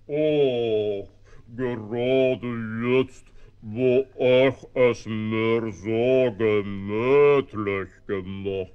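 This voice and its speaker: A man's voice: mid-range voice, very slow, clean tone. A man voicing a big, doleful elephant seal in a children's radio play, ponderous and mournful, on a muffled old record. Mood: reluctant, mournful.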